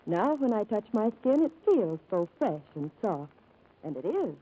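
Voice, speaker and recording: A woman's voice: mid-range voice, big pitch swings, unhurried, rough recording. An actress playing a sweet, dainty young woman in a 1940s radio soap commercial. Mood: sweet, dreamy. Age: young adult. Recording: old radio recording, loud music behind.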